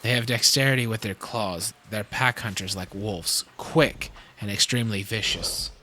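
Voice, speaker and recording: A man's voice: lowish voice, moderate pace, pushed and bright. Grave male scientist over noisy background acted. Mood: grave concern. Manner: serious, matter-of-fact. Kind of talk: fan audio drama acting. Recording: clear background noise.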